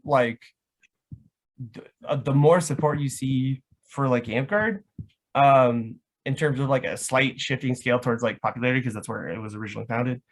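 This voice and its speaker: An American man from Florida explaining things in a casual, rambling way, often padding with "like". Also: mid-range voice. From Florida, United States. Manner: rambling, filler-heavy with frequent "like". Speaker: guest, live action roleplay organizer.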